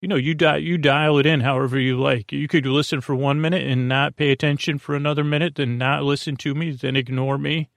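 Man, creaky voice, rambling bedtime monologue, halting, repetitive, trailing sentences, dry sound. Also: a little high, steady tone, dry recording. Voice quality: creaky, gravelly. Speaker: podcast host.

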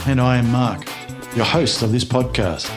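An earnest male podcast host with a voice of ordinary, middling pitch. He reads his introductions in a steady, welcoming way. Then he settles into an easy interview chat. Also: normal speed, over music.

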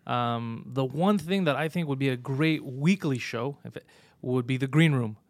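A man's voice: medium pitch, moderate pace, no room sound. A man hosting a podcast, chatting casually with long rambling questions. His voice sits in a middle range and sounds dry and close to the mic. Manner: chatty, many fillers, long loose questions. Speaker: podcast host, comedian.

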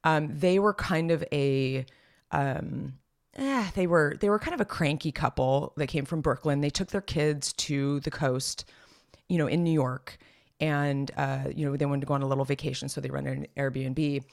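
Chatty woman with a slightly raspy voice. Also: low-pitched, moderate pace. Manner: chatty and rambling, many fillers and restarts. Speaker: podcast co-host. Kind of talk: casual chat.

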